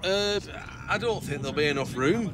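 A man's voice: on the high side, lively intonation, normal speed, a crowd in the background, close to an everyday voice. A man playing an easygoing driver. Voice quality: placating, easygoing. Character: easygoing middle-aged man driving, a peacemaker. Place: inside a small car.

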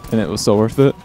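Playful, lowish-voiced man. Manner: playful, punning, giggly. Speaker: co-host. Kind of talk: casual gameplay chat. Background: game music.

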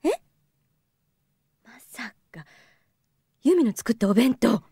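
A woman playing a kindly, amused mother of teenagers in an anime-style audio drama, motherly and gently chiding. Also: fairly high pitch, expressive, slow pace, husky, dry recording, clean studio sound, exaggerated voice. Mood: taken aback.

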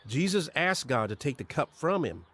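An earnest, insistent believer pressing a friend in a radio drama. His voice is fairly high and swings with lively, exhorting intonation. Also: moderate pace. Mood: earnest urging.

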